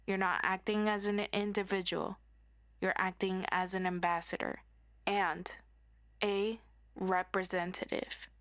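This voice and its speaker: A woman's voice: medium pitch, fairly bright tone, close to an everyday voice. Woman acting as encouraging superhero tutor, instructive. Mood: serious. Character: friendly superhero best friend tutoring with an orientation lecture. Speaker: voice actor in roleplay audio. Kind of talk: scripted roleplay, instructional orientation narration.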